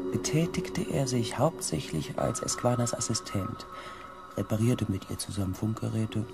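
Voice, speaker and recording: A man playing a reflective expedition member, narrating his report in a measured, literary way in a science fiction radio play. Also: on the low side. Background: music.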